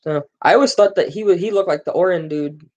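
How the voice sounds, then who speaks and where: A man's voice: sits high, fast, darkish tone, lo-fi sound. A man hosting a casual gaming live stream, rambling with plenty of fillers and joking with his viewers. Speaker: gaming livestream host.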